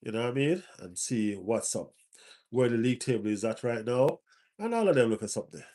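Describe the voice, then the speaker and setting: A man's voice: mid-range voice, lively intonation, fairly quick, mellow. Stuffy-nosed man chatting on a live stream. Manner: repeats phrases for emphasis. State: stuffy nose. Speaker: football fan streamer. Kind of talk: casual live stream chat.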